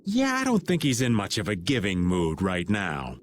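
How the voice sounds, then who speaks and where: An actor playing a wry, laid-back older rogue with a sardonic drawl in a video game cutscene. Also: male voice, fairly low pitch, wildly swooping pitch, moderate pace, slight background noise.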